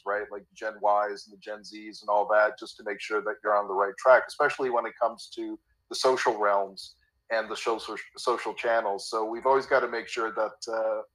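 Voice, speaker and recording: A man's voice: fairly low pitch, a bit bright, low-quality recording. A Canadian man in his fifties with a slight cold, talking as a guest on a remote interview, eager and often repeating words as he starts a thought. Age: 50s. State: has a cold, a bit raspy.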